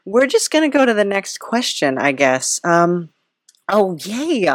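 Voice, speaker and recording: A woman's voice: mid-range voice, sing-song, a little slow, no room sound, clean studio sound. A woman hosting a casual comic podcast interview in a hesitant, awkwardly chirpy, giggly manner. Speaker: podcast host.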